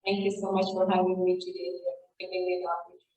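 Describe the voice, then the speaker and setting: A woman's voice: fairly low pitch, level tone, racing, warm tone. A woman graduate reading a prepared speech in a formal, grateful tone. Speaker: program graduate. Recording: echoey room, distant mic.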